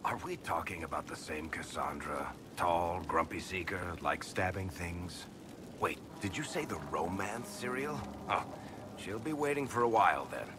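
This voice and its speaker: A sardonic male rogue from a fantasy game. He talks in a very low voice with lively swings and a dry, wisecracking manner. Clear ambient noise sits behind him. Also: normal speed. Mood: disbelieving. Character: wry, sardonic roguish male storyteller, grudgingly good-natured. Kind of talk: cinematic game dialogue acting.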